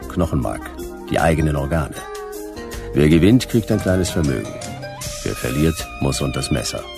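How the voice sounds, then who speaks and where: A man's voice: rumbling low, vocal fry, heavily acted. A man playing a laconic, hard-boiled private detective in a noir radio play, dry, terse and wry. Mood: brusque, dry. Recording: music behind the voice.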